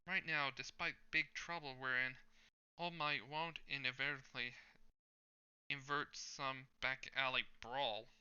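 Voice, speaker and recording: A man's voice: medium pitch, fairly even intonation, slow, sharp tone, clear, resonant tone, muffled recording. A man voicing the earnest inner monologue of a nervous young would-be hero.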